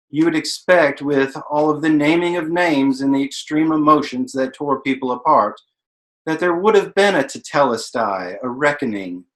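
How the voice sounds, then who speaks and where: A man playing a thoughtful, learned narrator, measured and ruminative, in a staged-reading monologue. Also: a little high, fairly bright tone. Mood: somber, resigned. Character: thoughtful, learned narrator reflecting on the Hollywood blacklist. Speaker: stage actor in a play reading.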